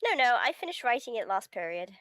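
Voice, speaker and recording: High-voiced woman reading casually, close and dry. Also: very animated, quick pace, a bit bright, no echo. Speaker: streamer reading a visual novel aloud. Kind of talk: casual chat and reading game text.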